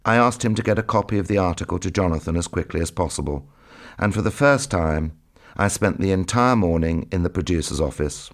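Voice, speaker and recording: A man's voice: low-pitched, lively intonation, fairly quick. A man, reading his own written recollections as a scripted narration. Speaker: memoir author and narrator.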